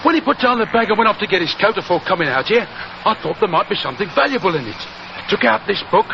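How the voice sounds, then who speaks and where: A man playing a plain-spoken, deferential working-class groom with a gravelly voice in 1940s radio drama. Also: high-pitched, big pitch swings, quick pace, heavily acted. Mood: eager, deferential. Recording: muffled, noisy 1940s radio recording.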